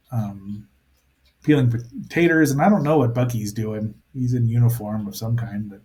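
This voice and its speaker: Man giving halting, playful cartoon commentary. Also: mid-range voice, a little mellow. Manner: halting, thinking aloud, playful asides. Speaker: host of a cartoon review show. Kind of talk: casual rambling commentary on a cartoon.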